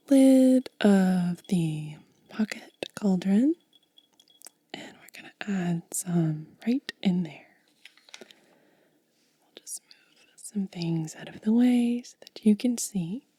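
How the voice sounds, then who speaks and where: A woman whispering in soft-spoken ASMR style, gently and unhurriedly explaining each step close to the microphone. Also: lowish voice, animated, takes it slowly, pauses often, no room sound. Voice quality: breathy whisper. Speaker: ASMR content creator.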